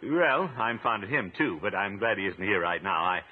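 A man's voice: on the low side, animated, normal speed, narrow, tinny audio, lightly acted. A man playing a pompous, easily flustered middle-aged uncle in a 1950s radio comedy. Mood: bashful, flustered. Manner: pompous, bashful, hesitant. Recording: thin, noisy old radio recording.

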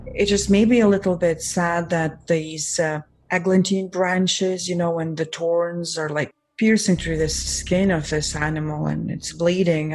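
A woman playing a tabletop roleplaying game, improvising in character with hesitant, halting phrasing. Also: lowish voice, a little mellow.

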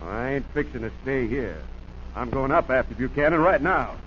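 An actor in a 1940s radio drama playing a hot-headed, vengeful farmer with a scornful, rustic way of talking. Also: male voice, medium pitch, lively intonation, moderate pace, fairly bright tone, close to an everyday voice. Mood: belligerent. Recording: muffled, slightly noisy 1940s radio.

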